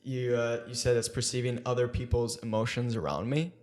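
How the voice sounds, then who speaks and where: A man's voice: mid-range voice, level tone, a little fast, darkish tone. A young man hosting a podcast interview, talking hesitantly with many fillers. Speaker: podcast host.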